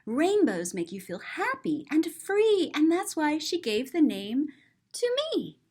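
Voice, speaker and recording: A woman's voice: high-pitched, very animated, takes it slowly, a little mellow, pitched above the performer's own voice, heavily acted. A woman voicing a sensitive, big-hearted little girl who tells her own story in an earnest, rhyming storybook style. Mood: bright, proud.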